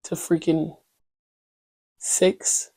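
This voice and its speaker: A woman's voice: extremely slow, dark tone, dry recording. A young woman hosting her own podcast, chatting candidly in a slangy, rambling way full of "you know what I'm saying.". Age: young adult. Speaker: podcast host. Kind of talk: casual solo podcast chat.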